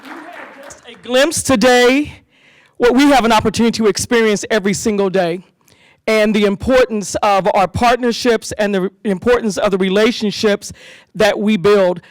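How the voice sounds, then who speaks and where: A woman hosting a school community safety event, giving an animated speech to parents and leading call-and-response chants. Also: mid-range voice, normal speed, no room sound, some chatter behind, rough recording.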